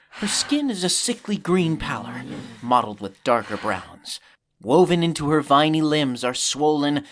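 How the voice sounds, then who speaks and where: A man's voice: mid-range voice, fairly bright tone, a little hiss or hum. A man playing the solemn, dramatic narrator of a scripted science-fiction audio drama. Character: dramatic, solemn storyteller of a space saga.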